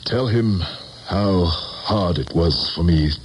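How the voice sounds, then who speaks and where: An actor playing a haunted, defensive middle-aged American politician in an intense 1970s radio drama style. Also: male voice, low-pitched, animated, takes it slowly. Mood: pleading. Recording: noisy 1970s radio broadcast recording.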